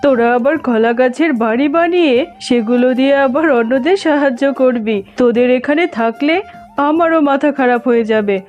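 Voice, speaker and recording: A woman's voice: sits high, a little fast, a little mellow, muffled recording, faint music behind, close to an everyday voice. A woman voicing a brusque, mocking tigress aunt in a cartoon. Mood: scornful. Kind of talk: cartoon dialogue.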